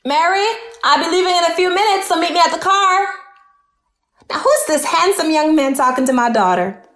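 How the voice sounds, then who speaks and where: A woman's voice: very high voice, lively intonation, moderate pace, a bit bright. A woman playing a courteous, protective mother in an audio drama. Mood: courteous, firm. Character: protective, courteous Southern mother, middle-aged.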